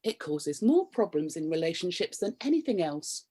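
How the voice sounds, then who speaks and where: Radio comedy: prim British housewife, slightly low voice, coy and easily flustered, secretly curious. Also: female voice, sing-song, normal speed, dark tone, breathy edge. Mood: disdainful. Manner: coy, flustered, innuendo-laden delivery with nervous chuckles. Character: prim, flustered married woman, sexually repressed but curious. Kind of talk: radio play comedy acting, confessional monologue and banter.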